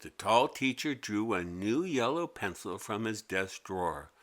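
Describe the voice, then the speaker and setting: Male storybook narrator: expressive, deliberate pacing, bright tone. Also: fairly low pitch. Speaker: author narrating his own children's story. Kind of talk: scripted children's story narration.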